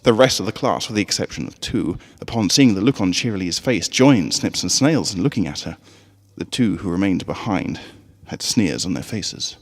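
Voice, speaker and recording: A man narrating a scripted story in an expressive, dramatic way. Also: low-pitched, normal speed, in a large hall. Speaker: fan fiction reader.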